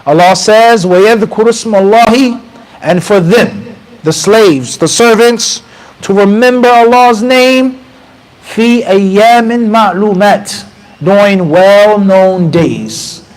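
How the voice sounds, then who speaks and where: A man in his thirties with an American accent, giving an animated, conversational lecture in a mosque and reciting Arabic passages. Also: high-pitched, a little fast, poor audio. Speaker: mufti teaching a class. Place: small mosque room with bookshelves. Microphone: handheld mic close to mouth.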